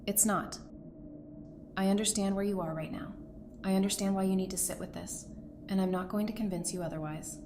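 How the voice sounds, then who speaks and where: A woman playing a steadfast, compassionate apocalypse survivor in an audio drama, speaking in an earnest, measured, encouraging way. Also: mid-range voice, steady tone, natural voice. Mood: gentle, earnest. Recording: clearly noisy, ambient background.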